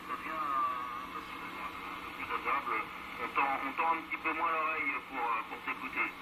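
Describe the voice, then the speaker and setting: A man from France chatting casually as an amateur radio operator over a radio link, halting and repeating words for emphasis. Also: mid-range voice. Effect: two-way radio transmission. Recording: very noisy shortwave radio reception.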